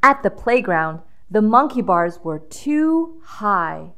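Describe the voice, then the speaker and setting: A woman's voice: mid-range voice, very animated, slow, a bit bright, clear, resonant tone. A woman reading a children's storybook aloud with dramatic emphasis, drawing out key words for young listeners.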